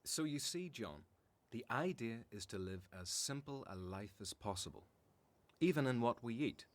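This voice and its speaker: A man's voice: mid-range voice, expressive, normal speed, close to an everyday voice. A man playing a devout, helpful member of a desert community, explaining sincerely in a radio drama. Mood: patient, informative. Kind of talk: religious radio drama acting.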